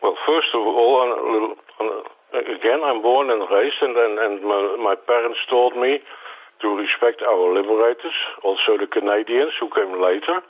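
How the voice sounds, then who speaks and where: A man in his sixties from the Netherlands with a Dutch accent, a native Dutch speaker, answering interview questions in a halting way, repeating words and restarting often. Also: on the low side. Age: 60s. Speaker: interview guest. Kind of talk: phone interview. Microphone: telephone. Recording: tinny phone line.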